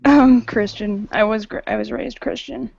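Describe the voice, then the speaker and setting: Guest: woman from New Hampshire, gravelly voice, curious chatty questions, dry lo-fi audio. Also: fairly high pitch, fairly even intonation, moderate pace, a little mellow, dry recording. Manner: curious, lots of "like". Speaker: caller guest. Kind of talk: casual chat.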